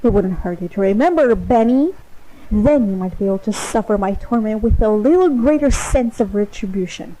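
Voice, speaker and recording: A woman voicing a hateful, sadistic godlike supercomputer with a teenage god complex, taunting in a deliberate, mock-sweet dramatic monologue. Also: mid-range voice, expressive, warm tone, close to an everyday voice, rough recording. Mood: sneering. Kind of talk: dramatic monologue, fan voice acting of game lines.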